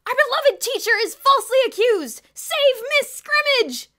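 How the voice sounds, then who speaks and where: A woman voicing a teenage girl who screams orders to a crowd over a loudspeaker. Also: very high-pitched, expressive, normal speed, pitched above the performer's own voice, full character voice. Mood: shrieking.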